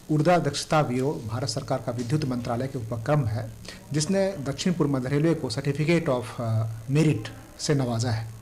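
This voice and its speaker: A man speaking as an official spokesman, explaining formally and a little haltingly in an interview statement. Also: medium pitch, darkish tone, slight background noise. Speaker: railway public relations officer.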